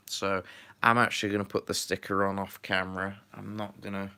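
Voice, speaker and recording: A man talks loosely through a live stream, full of ums and half-muttered asides as he works. His voice sits very low with a creaky, gravelly edge. He sounds a little stuffy from a runny nose. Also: little pitch movement, brisk, bright, forceful tone. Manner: rambling, filler-heavy, mutters to himself while working. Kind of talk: casual live-stream chat while building.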